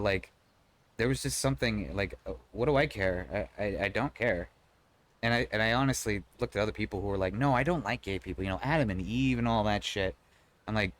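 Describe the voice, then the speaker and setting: Low-voiced man chatting on stream. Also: a bit bright. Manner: conversational and rambling, frequent restarts, swears casually. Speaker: live streamer. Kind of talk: casual live-stream chat, reading and answering chat.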